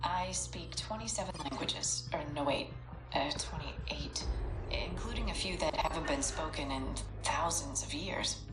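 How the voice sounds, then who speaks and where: A woman's voice: sits low, unhurried, far from the mic. A woman playing an earnest, haunted scientist, a brilliant linguist, in a dramatic video game cutscene monologue. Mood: amused, modest. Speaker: scientist narrating research logs. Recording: loud music under the voice.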